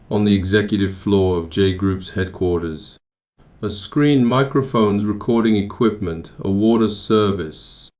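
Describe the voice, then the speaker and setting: Low-voiced man narrating a memoir. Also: a little mellow, highs cut off. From Taiwan. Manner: measured, matter-of-fact, with occasional restarts. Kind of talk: first-person memoir narration.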